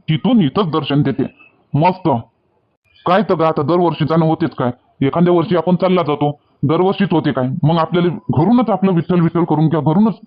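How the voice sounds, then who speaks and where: A man's voice: on the high side, muffled recording, lightly acted. A man voicing a devout, cheerful village man in a cartoon, with a rural Vidarbha Marathi accent and a homely, repetitive preaching manner. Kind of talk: amateur cartoon dialogue.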